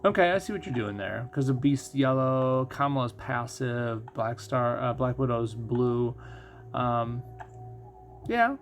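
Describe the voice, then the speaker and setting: A man's voice: mid-range voice, a little slow, faint music behind. A man doing casual live commentary as a game streamer, explaining things conversationally and thinking aloud.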